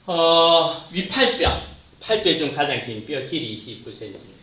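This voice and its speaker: A man's voice: a little high, lively intonation, unhurried, highs cut off. Roomy, distant male anatomy lecturer. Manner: points at slides, trails off at phrase ends. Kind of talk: classroom lecture.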